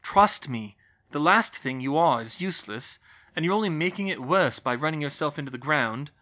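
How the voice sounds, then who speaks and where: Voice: medium pitch, animated, moderate pace, sharp tone, voice pushed up. A narrator doing a worried young wizard. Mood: concerned. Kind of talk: audiobook reading of fan fiction. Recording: slightly muffled recording.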